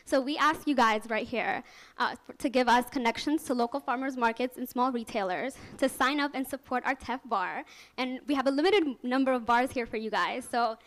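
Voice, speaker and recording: A young woman from Ethiopia giving a live startup pitch, nervous and earnest, laughing often and using many fillers. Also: fairly quick. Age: young adult. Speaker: student startup founder pitching. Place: venture lab event room.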